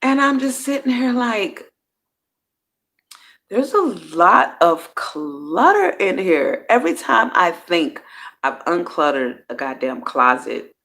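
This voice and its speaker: A woman's voice: lowish voice, very animated, takes it slowly, a bit bright. A woman hosting her own personal growth series, talking casually and candidly. She uses slang, swears freely, and often trails off and restarts her sentences. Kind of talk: casual reflective monologue.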